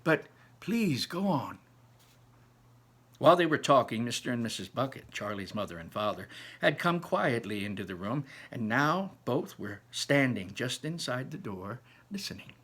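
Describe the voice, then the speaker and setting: Husky, expressive man reading to children. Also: medium pitch, moderate pace. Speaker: read-aloud storyteller. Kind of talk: expressive children's story narration and informal commentary.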